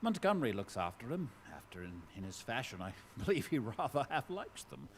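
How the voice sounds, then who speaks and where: An actor playing an obsessed, grandiose scientist who creates men from animals, theatrical and brooding, in a live audio drama. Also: male voice, medium pitch, big pitch swings, a little fast, a little hiss or hum, close to an everyday voice. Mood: musing, weary. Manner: theatrical, brooding, swelling into fervent declamation. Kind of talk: dramatic monologue in a live radio-style play. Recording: live stage recording with music underneath.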